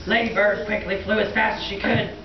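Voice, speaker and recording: A woman's voice: mid-range voice, steady tone, brisk, creaky. A woman telling a story to children at a live puppet show, theatrical in how she draws out and repeats words. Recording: echoey room, distant dull mic, crowd. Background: audience of children.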